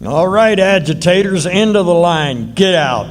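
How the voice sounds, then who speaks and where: Man acting a bullying high-pitched lawman, echoing hall. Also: lively intonation, unhurried. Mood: hostile, barking. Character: hostile, bullying male lawman guarding prisoners. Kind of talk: live radio drama acting. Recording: echoing hall, noisy live stage recording.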